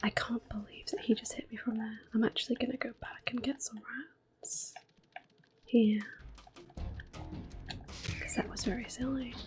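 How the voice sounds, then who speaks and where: A woman narrates her video game in a soft, breathy whisper with a mellow tone. She is gentle and unhurried, in an ASMR style, with game music playing behind her. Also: a little high, flat delivery. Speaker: gameplay streamer. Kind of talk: ASMR gameplay commentary.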